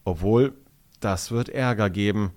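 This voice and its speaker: A man's voice: fairly low pitch, measured pace, a little mellow, natural voice. A man voicing a nervous young schoolboy who stammers apologetically. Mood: anxious. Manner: stammering, sheepish.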